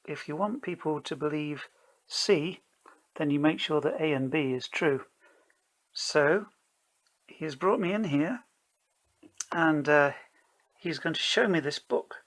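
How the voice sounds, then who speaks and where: A solo role-player narrating a tabletop game, improvising and thinking aloud in a hesitant, halting way. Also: mid-range voice, fairly bright tone. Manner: hesitant, halting, mulling things over.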